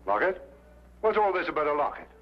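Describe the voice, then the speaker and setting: Blustering, highish-voiced police inspector. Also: male voice, big pitch swings, fast talker. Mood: gruff suspicion. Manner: blustering, impatient. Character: Inspector Lestrade, brusque, suspicious police inspector. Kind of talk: old-time detective drama acting. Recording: muffled, faintly noisy old radio-era recording.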